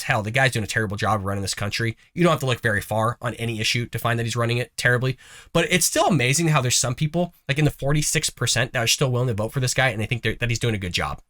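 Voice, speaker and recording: Congested, bright-voiced male show host. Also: mid-range voice. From United States. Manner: lively, folksy, sarcastic asides. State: congested, recovering from a cough. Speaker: host of a conservative news show. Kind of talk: casual political commentary.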